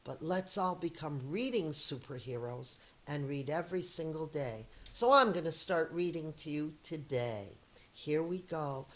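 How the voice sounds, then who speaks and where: Slow-reading middle-aged woman, lower-pitched voice. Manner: deliberate, expressive storytelling to children. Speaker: elementary school teacher reading a story. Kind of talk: read-aloud of a children's picture book with chatty asides.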